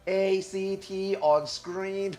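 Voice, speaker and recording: A man streaming live who chats casually, swears freely and reacts loudly to his viewers, and he says he is losing his voice. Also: sits high, steady tone, drawn-out words, soft background music.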